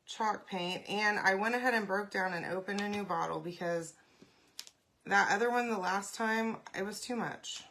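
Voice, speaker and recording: A woman hosting a casual craft live stream, congested and often clearing her throat, chatting and greeting viewers by name. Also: mid-range voice, normal speed, pushed and bright, slight background noise, rough recording.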